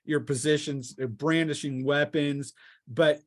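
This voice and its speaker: Halting male game master, dry sound. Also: a little high, steady tone, no room sound. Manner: halting, piecing descriptions together aloud. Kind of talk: improvised tabletop game narration.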